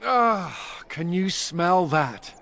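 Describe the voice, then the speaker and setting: An actor playing a sardonic escaped mage in a video game, glib and self-amused with a bitter edge. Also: male voice, high-pitched, very animated, drawn-out words, gravelly, bright, forceful tone, full character voice. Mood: wry, musing. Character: wry male mage and escaped prisoner, playful yet bitter. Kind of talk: video game character dialogue.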